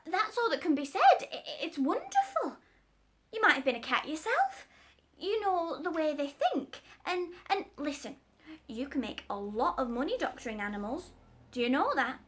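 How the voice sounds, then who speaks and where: Storybook tradesman: eager, rambling working-class man, high and bright, read by a woman. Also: big pitch swings, moderate pace. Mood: eager, wheedling. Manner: rambling, folksy, stumbles over words. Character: chatty working-class man, cat's meat seller.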